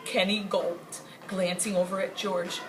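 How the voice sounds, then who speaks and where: A woman's voice: medium pitch, flat delivery, a little slow. A woman reading a children's chapter book aloud as narrator, expressively. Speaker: story reader. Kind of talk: expressive scripted storybook read-aloud. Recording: clearly noisy amateur recording.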